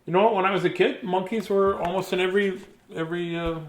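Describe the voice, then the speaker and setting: A man's voice: sits high, steady tone, fast talker. A man reading a children's bedtime story aloud expressively, with playful, theatrical delivery and chatty, casual asides.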